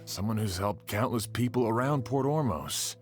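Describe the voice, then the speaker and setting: A man's voice: fairly low pitch, animated, moderate pace, lightly acted. A man voicing an earnest, concerned sheriff in a video game. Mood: shocked, concerned. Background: game music.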